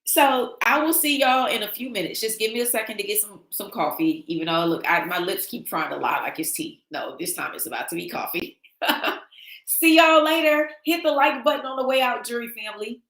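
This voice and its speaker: A woman with a slightly raspy, travel-worn voice hosts a casual livestream and chats with viewers. She talks in an animated way and reads their comments aloud. The sound is echoey, as if recorded in a bare room. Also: a little high, a little fast. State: losing her voice from travel. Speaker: livestream host. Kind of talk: casual livestream sign-off chat.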